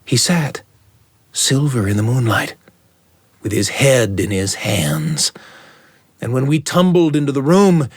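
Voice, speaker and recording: A middle-aged man tells a story in a dry, close recording. His pitch moves widely as he builds suspense, with deliberate pauses and a dramatic delivery. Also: very animated, husky, no echo. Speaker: storyteller and show host. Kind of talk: dramatic first-person oral storytelling.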